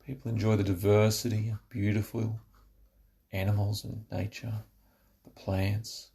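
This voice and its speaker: A man narrating a scripted guided relaxation, giving slow, instructive phrases with pauses. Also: lowish voice, level tone, vocal fry, warm tone.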